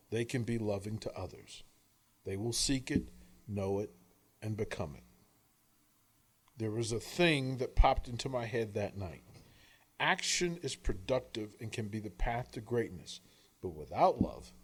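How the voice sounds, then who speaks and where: Male audiobook narrator, mid-pitched, earnest and deliberate, using dramatic pauses between phrases. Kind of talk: scripted audiobook-style narration.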